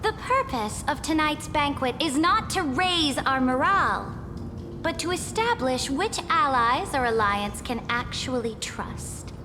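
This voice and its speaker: A woman playing a regal, commanding ruler in a fantasy game, speaking in formal, measured speeches. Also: high-pitched, sing-song. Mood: accusing, severe. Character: regal, imperious female ruler addressing her dukes. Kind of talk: scripted fantasy game acting. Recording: echoey hall reverb, music behind.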